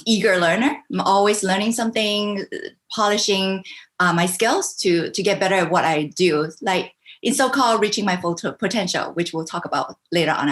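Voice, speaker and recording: A woman's voice: mid-range voice, fairly bright tone. Enthusiastic woman guest on a video call. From Hong Kong, raised in Canada. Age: middle-aged. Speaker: podcast guest, business coach. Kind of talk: casual interview chat.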